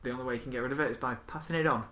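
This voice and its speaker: A man's voice: mid-range voice, racing, fairly bright tone, a little hiss or hum. A man chatting casually as a co-host, informal and sweary, acting out bits of dialogue as he tells stories. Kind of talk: casual chat with anecdotes.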